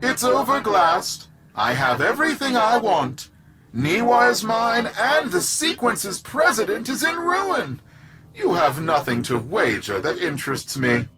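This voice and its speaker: A man playing a greedy, gloating merchant villain in a video game, with a theatrical, haughty, drawn-out delivery. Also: sits high, very animated, pushed and bright, faint music behind, exaggerated voice.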